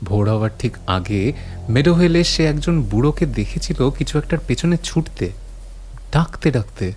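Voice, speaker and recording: A man acting as the grave narrator of a horror audio story, recounting eerie legends with deliberate pauses. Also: fairly low pitch, a little mellow, close to an everyday voice. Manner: slow, deliberate, grave, pausing often.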